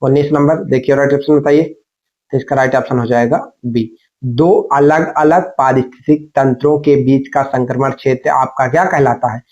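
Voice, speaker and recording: A man's voice: medium pitch, fairly even intonation, normal speed, darkish tone. A man teaching like an exam coach, going through questions and answers in an instructional way and repeating them with rhetorical prompts. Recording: dull lo-fi microphone sound.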